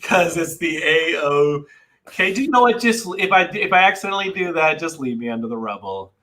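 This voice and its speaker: A man from Texas hosting a podcast, chatting casually over a video call, animated and joking, with frequent restarts. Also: on the high side, a little fast, crowd noise. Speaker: podcast host. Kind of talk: casual chat.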